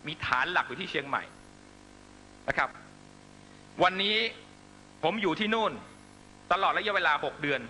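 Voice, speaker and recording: A man's voice: fairly high pitch, animated, moderate pace, long pauses, sharp tone, slight background noise, poor audio. A man delivering a fiery political speech to supporters, accusatory and emphatic, constantly pressing listeners with rhetorical questions. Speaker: political rally speaker.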